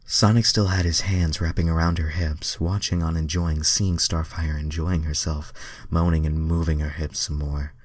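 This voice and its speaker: A man with a low voice reads a fan story aloud in an amateur style. He stumbles over lines and drops into casual, self-deprecating asides, and the sound is dry. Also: flat delivery, normal speed, no room sound. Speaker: reader of fan fiction.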